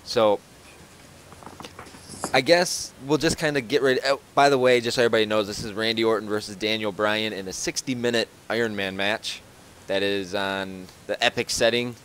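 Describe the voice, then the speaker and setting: A man's voice: fairly low pitch, fairly even intonation, moderate pace, a bit bright, a little hiss or hum. A man hosting a casual podcast from home over a voice call, rambling with lots of fillers and restarts. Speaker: podcast host.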